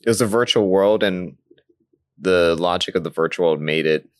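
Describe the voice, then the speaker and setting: A man co-hosting a podcast, chatting casually about a video game in an animated way and often laughing as he talks. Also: sits low, moderate pace, dry recording. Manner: animated, laughs while talking. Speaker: podcast co-host.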